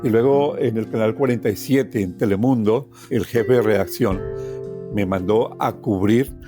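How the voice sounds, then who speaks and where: A man's voice: fairly low pitch, normal speed, over music. A man from Colombia with a Colombian accent, telling his own life story as a guest. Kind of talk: personal storytelling.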